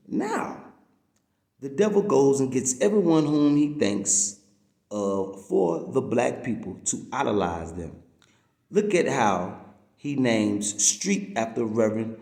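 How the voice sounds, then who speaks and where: A man's voice: mid-range voice, vocal fry, darkish tone, reverberant. A man reading a written message aloud in an emphatic, preaching way, drawing out the words he stresses. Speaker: reader reading a text aloud. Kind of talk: read-aloud of a religious-political message, preaching delivery.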